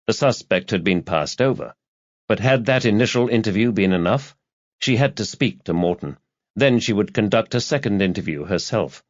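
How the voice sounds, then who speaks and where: A man's voice: on the low side, no room sound. A man narrating a crime thriller audiobook in a scripted, dramatic storytelling style. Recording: slightly dull, lo-fi audio.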